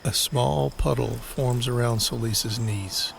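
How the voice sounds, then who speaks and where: A man narrating a scripted crime-horror audio drama in a measured, deliberate storytelling manner. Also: medium pitch, steady tone, creaky, fairly bright tone, a little hiss or hum.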